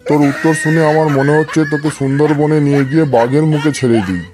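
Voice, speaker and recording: A man's voice: fairly high pitch, steady tone, fast talker, music in the background, close to an everyday voice. A man playing an exasperated, scolding schoolteacher in a comedy cartoon. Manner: scolding, threatening, theatrical. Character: exasperated male village schoolteacher scolding cheeky pupils. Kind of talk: comedy cartoon dialogue in Bengali.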